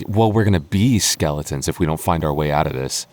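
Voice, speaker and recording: A man's voice: extremely low pitch, animated, fairly quick, darkish tone. A young man voicing a goofy, doting goth boyfriend in an ASMR roleplay, talking in a stammering, self-teasing way with frequent laughs. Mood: uneasy. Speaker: voice actor.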